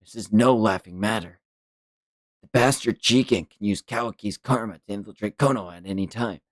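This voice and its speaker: A man's voice: lowish voice, a little fast, no room sound, clean studio sound, natural voice. A man voicing a cautious, grumbling ninja adviser who speaks seriously and scoldingly in a manga read-along. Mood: stern.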